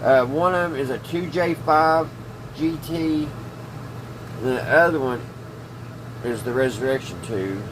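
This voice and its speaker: A man's voice: medium pitch, a little slow, long pauses. A man hosting a casual hobby workbench video, rambling and hesitant as he thinks aloud. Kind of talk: casual workbench vlog about old radios. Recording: clearly noisy room, steady hum.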